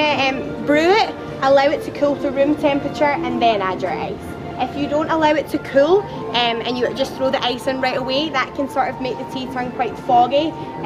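A young woman guiding a tour group outdoors in a garden, with a loud, lively and chatty way of talking and playful jokes. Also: high voice. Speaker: tour guide. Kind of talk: guided tour talk to a group. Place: outdoor garden at a theme park. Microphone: camera a few steps away, no microphone.

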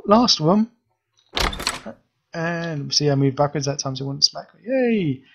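A man's voice: fairly high pitch, lively intonation, a little slow, gravelly, muffled recording. A man reviewing a game asset in casual commentary, thinking aloud and ranting about bugs as he explores.

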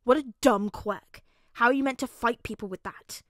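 Lady reading as a bossy little boy, pouty n proud, storybook style, highish voice, close dry sound. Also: lively intonation, moderate pace, no room sound. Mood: scornful. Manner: pouting, blunt, demanding delivery. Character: small boy of four, grumpy, bossy, proud.

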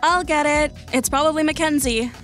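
A woman's voice: high-pitched, moderate pace, over music, natural voice. A woman playing an upbeat, teasing teenage girl in an audio drama, a loyal best friend in chatty dialogue. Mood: excited.

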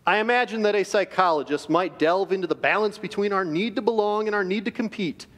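A middle-aged man giving an earnest, measured chapel devotion to students from a podium in a school auditorium. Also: high-pitched, a bit bright. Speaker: chapel speaker. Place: large school auditorium stage. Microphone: podium microphone. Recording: reverberant auditorium.

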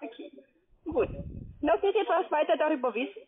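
A woman's voice: sits high, animated, brisk. A curt, argumentative woman with a foreign accent, working as a call centre agent, not a native German speaker. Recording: tinny telephone line.

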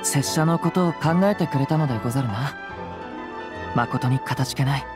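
A man playing a gentle, humble young wandering samurai in an anime game, with calm, courteous, archaic speech. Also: mid-range voice, normal speed, natural voice. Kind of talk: Japanese anime game dialogue. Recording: music under the voice.

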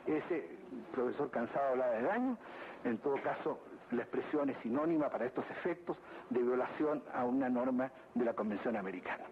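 A man presenting a formal legal argument for the state at a court hearing, deliberate and repeating phrases for emphasis. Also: mid-range voice, lively intonation. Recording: tinny lo-fi archive recording with background noise.

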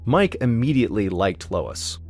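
A man hosting a podcast, telling a true story in steady, suspenseful scripted narration. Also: on the low side, moderate pace, soft background music.

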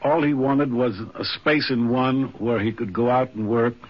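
A man's voice: mid-range voice, steady tone, normal speed. A man giving a spoken tribute to a friend on an old radio program. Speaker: interviewee paying tribute to a friend. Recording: dull, band-limited 1950s radio recording.

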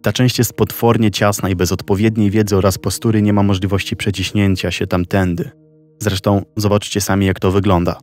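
A man narrating a documentary story in a slow, measured scripted style. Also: on the low side, level tone. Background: soft background music.

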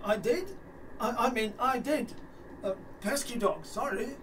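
Man acting a high, timid, bumbling plotter. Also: measured pace, fairly bright tone. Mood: sheepish. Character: timid, bumbling, hapless junior conspirator. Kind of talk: radio play acting. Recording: distant mic, faint noise.

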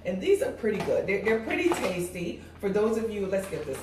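A woman's voice: mid-range voice, very animated, fairly quick, background noise. A woman in her forties with a congested voice, chatting viewers through a cooking demonstration in her home kitchen. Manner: chatty, talks viewers through each step. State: congested, voice a little off. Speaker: cooking channel host. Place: large home kitchen indoors. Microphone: far camera. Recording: echoey kitchen from far off.

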